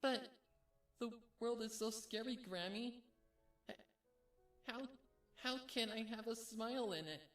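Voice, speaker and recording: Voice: mid-range voice, measured pace, pauses often, a bit bright, recorded from a distance, pitched above the performer's own voice, lightly acted. A narrator voicing a frightened, sniffling little girl pony in a storybook reading with music behind. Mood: fearful, questioning.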